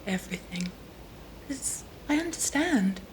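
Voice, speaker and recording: An elegant, severe Victorian woman of mid-pitched voice. She is cool, intense and persuasive in an audio drama, with a faint rumbling behind her. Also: very animated, a little slow, slight background noise. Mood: cold, intense.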